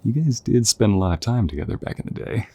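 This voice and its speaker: Man acting a deep, husky young soldier, affectionate. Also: very animated, fast, dry recording. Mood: warm, reminiscing. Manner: gentle, affectionate, hesitant, chuckling conversational delivery. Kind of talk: intimate audio roleplay acting.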